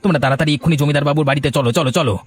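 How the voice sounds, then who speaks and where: Quick-talking, earnest village man by an actor. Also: a little high, fairly even intonation, background noise, lightly acted. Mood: urgent persuasion. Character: earnest, kind-hearted village father and son. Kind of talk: amateur cartoon voice acting.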